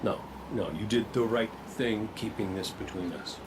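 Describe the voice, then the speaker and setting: An actor voicing a roguish, wisecracking robot gunslinger in a video game, cocky but soft-hearted, with a wry conversational delivery. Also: male voice, on the low side, normal speed, background noise, natural voice. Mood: wry, reassuring. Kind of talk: video game cutscene acting.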